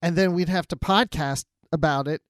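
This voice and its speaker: A male podcast co-host with a fairly high voice, chatting casually. He tells things in an animated, conversational way, and the sound is dry with little room around it. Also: normal speed, no room sound. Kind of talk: casual chat.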